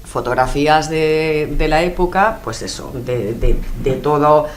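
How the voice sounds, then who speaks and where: Brief woman, low voice, madrid spanish accent, hesitant radio guest telling her project, faint ambience behind. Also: unhurried, a little hiss or hum. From Spain. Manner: thoughtful, hesitant with many fillers. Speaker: guest, journalist and filmmaker. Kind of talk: radio interview.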